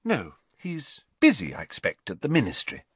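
A man's voice: mid-range voice, wildly swooping pitch, moderate pace, breathy edge, no echo, pitched above the performer's own voice. A man voicing a harried, scolding middle-aged mother in a storybook, fussing and maternal. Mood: harassed.

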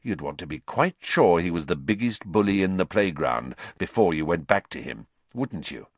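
A man playing a bitter, vengeful male wizard who growls his words low and with venom. The voice sits deep but moves with lively swings, and the sound is dry and close. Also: normal speed, no echo. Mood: venomous.

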